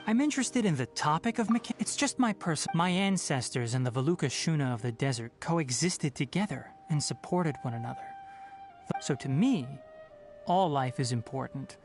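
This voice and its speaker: Young male forest ranger, video game, calm scholar, earnest, animated pitch, faint music behind. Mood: earnest, serious. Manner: measured, earnest, gently didactic. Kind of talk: scripted video game character dialogue.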